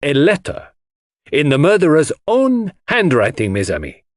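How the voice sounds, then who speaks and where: Guy reading as a showy confident detective, very deliberate, big pitch swings, dry sound. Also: huge pitch swings, no room sound. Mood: grave, triumphant. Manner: impressive, deliberate, flourishing. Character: theatrical, self-assured Belgian male detective presenting his deductions. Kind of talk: audiobook character dialogue.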